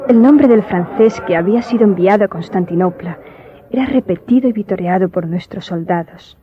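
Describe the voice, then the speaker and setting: An actress playing a grieving daughter who solemnly tells her tragic story in a radio drama, in a measured way. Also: mid-range voice, muffled recording, close to an everyday voice. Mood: solemn, tense.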